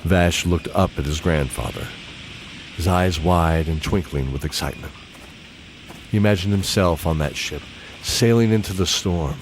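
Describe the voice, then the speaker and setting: A man's voice: deep voice, moderate pace, poor audio. A man narrating a scripted fantasy audio drama in storybook style. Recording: clear background ambience noise.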